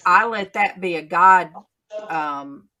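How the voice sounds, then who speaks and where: A woman, hosting a casual faith chat and telling stories in a chatty, drawn-out way. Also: fairly low pitch, pushed and bright. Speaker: channel host.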